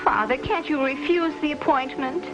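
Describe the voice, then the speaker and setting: An American actress playing a spoiled, disdainful young society daughter with clipped delivery in a 1950s television western. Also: female voice, high-pitched, normal speed, natural voice. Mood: indignant. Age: young adult. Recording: dull vintage TV soundtrack, loud music.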